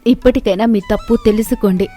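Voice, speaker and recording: Casting: fearless young village girl played by a woman, high voice, blunt and challenging, moral tale, music bed. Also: steady tone, fairly quick, mellow, close to an everyday voice. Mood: firm, reproachful. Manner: direct, challenging. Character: bold, sharp-witted ten-year-old village girl confronting a lord.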